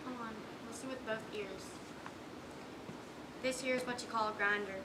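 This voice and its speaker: In an echoey, noisy room, a woman plays a stern, weary country mother on stage. Her voice is high, and her talk is folksy, impatient and scolding. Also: fairly even intonation, normal speed, close to an everyday voice. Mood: instructing. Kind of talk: school stage play acting.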